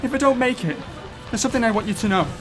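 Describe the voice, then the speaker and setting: A man's voice: very high-pitched, animated, very fast, a faint crowd. Music plays behind an actor voicing an anxious man in an audio drama. He is fretful and stammers, a frightened passenger on a fairground ride who cannot stop panicking. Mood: panicky.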